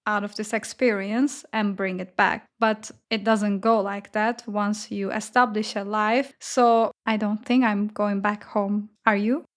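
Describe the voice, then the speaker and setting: A woman's voice: mid-range voice, fairly even intonation, a little slow, hardly any pauses. A young adult woman from Turkey, chatting casually as a podcast co-host. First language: Turkish. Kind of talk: casual conversational podcast talk.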